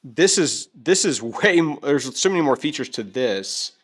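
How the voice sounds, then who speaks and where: A man's voice: a little high, sing-song, unhurried, slightly rough. A man hosting a product overview in a casual, chatty way, often repeating his phrases. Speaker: host of a gear review video.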